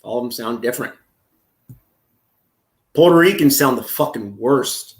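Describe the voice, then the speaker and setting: A man's voice: medium pitch, a little slow, reverberant. A man from California talking crude and jokey on a casual livestream, easily flustered. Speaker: streamer reacting to videos.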